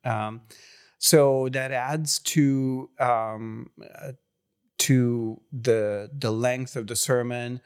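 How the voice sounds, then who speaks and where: A Hungarian-accented man from Serbia, a pastor talking in an interview. He speaks slowly, with a smooth, clear voice, and stops often to restart his sentences with fillers. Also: medium pitch, fairly even intonation. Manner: halting, many fillers and restarts. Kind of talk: interview conversation.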